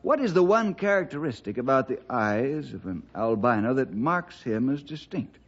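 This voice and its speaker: An actor playing a steady, devout investigator with an American accent and a measured, probing manner, in 1960s radio drama style. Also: male voice, medium pitch. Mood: patient. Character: steady, principled man, devout leader and investigator. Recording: dull vintage radio recording.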